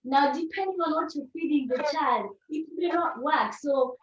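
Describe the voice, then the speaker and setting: A woman's voice: sits high, moderate pace, slight background noise. A woman reflectively sharing a personal account in a panel discussion, choosing her words carefully. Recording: roomy, distant, dull call audio.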